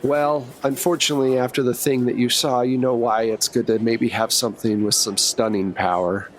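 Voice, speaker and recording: Agent handler, played by a man for a game: breezy, blunt, casually reassuring, mid-pitched. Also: little pitch movement, normal speed, lightly acted, poor audio. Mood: casual, businesslike. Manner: casual, wry, reassuring briefing.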